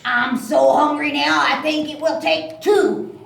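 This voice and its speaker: A woman voicing a boastful, hungry big bad wolf in a children's puppet show, with menacing, drawn-out delivery. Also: high-pitched, steady tone, vocal fry, a bit bright, exaggerated voice. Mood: grumbling hungry. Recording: echoey room, distant mic, faint noise.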